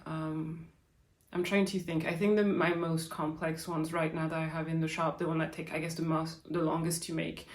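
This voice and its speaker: A woman's voice: sits low, level tone, normal speed. Chatty French-accented woman in a roomy space. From France. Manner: chatty, lots of fillers and likes. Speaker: podcast host. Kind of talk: casual Q&A chat. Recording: roomy echo.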